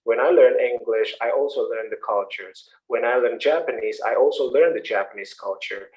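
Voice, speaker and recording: A man's voice: medium pitch, moderate pace, a little mellow, rough recording. A man with an Indonesian accent, an English instructor explaining and encouraging students in an online class. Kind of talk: answering questions in an online class. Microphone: online video call.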